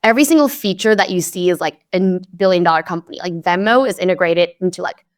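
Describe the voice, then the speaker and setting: A woman's voice: lowish voice, fast. Chatty young woman from Hong Kong. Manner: chatty, upbeat, many "like" fillers. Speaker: guest investor. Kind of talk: casual podcast interview.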